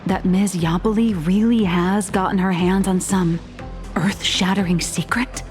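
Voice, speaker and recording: A woman's voice: medium pitch, steady tone, measured pace, a little mellow, lightly acted. A woman playing a shrewd, wry sceptic who speaks in pointed, articulate video game dialogue. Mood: curious. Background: game music.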